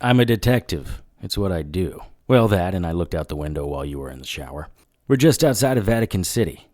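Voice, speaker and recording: A man's voice: sits low, sing-song, a little fast, a little mellow, no echo, studio quality. A man acting a wry, hard-boiled occult detective who narrates and talks in a noir radio drama. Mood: wry banter. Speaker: narrator and lead character.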